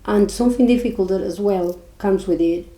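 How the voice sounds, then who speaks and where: A woman with a Spanish accent co-hosting a podcast, talking earnestly and haltingly with many restarts. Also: medium pitch, mellow, reverberant, low-quality recording. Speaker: podcast co-host. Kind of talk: casual chat.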